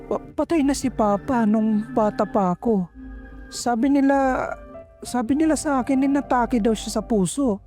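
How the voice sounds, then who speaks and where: A woman's voice: a little high, normal speed, dark tone, faint music behind, natural voice. A woman playing a frightened, tearful young female call center agent, hesitant and stammering, in a horror audio drama. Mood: fearful, tearful.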